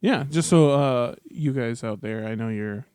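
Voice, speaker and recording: A man's voice: medium pitch, unhurried, a little mellow, clean tone, no echo. A man hosting a casual fan podcast, talking in an informal, jokey way. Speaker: podcast host.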